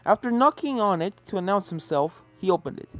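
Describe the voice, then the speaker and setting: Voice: mid-range voice, expressive, fairly quick, dry recording. A storyteller reading scripted fiction aloud as a narrator, slipping into character voices for the dialogue. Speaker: fan fiction reader, narrator. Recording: game music under the voice. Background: video game soundtrack music.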